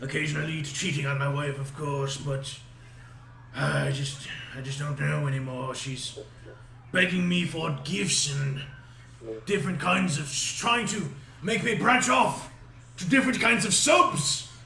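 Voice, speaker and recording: Young male player in character, halting delivery, bright tone, echoey room. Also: fairly high pitch, creaky. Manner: hesitant, halting with fillers. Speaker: player voicing his character. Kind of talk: in-character tabletop roleplay. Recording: echoey room with background noise.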